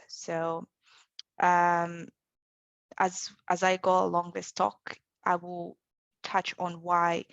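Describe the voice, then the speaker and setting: Zambian-born woman, level measured voice. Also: fairly low pitch, dry recording. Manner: relaxed, many fillers, thoughtful. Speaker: panel speaker. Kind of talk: conversational talk.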